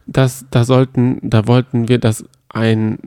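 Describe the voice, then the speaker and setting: A man's voice: mid-range voice, level tone, slow pace, warm tone, smooth, no room sound, clean studio sound. A young man co-hosting a podcast, chatting casually in a joking, self-ironic way. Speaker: co-host of a reality TV podcast. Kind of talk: casual chat.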